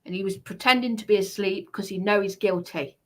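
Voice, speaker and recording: A woman's voice: medium pitch, brisk, fairly bright tone. A woman giving a heated personal account on a livestream, speaking in an indignant, emphatic way and repeating herself for stress.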